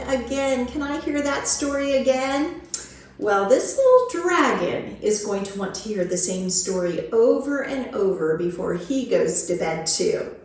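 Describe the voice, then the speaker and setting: A woman's voice: fairly high pitch, echoey room, slight background noise. A woman hosting storytime for young children, reading picture books expressively and giving encouraging, simple instructions. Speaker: children's librarian, storytime host.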